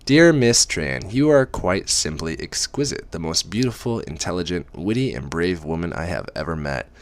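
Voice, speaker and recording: A man reading aloud as a cool, controlling rich young man who gives clipped, deliberate orders. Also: deep voice, animated. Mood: commanding.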